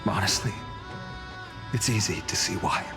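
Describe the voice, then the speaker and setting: An actor playing an earnest young hero who explains the past thoughtfully. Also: male voice, on the low side, unhurried. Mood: calm. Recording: game music behind.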